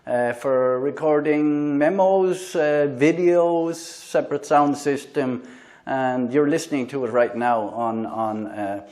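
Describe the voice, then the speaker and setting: Halting Irish man reviewing a gadget. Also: medium pitch, darkish tone. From Ireland. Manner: halting, many filler sounds and restarts. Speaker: tech reviewer, channel host. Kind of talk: casual product review and demo. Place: indoors in a room with a fan, then outdoors by a busy road. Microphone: lavalier.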